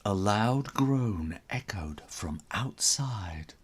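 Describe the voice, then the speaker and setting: A man reading a children's story aloud as its author, theatrically, with dramatic pauses and whispery emphasis. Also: fairly low pitch, expressive, breathy edge.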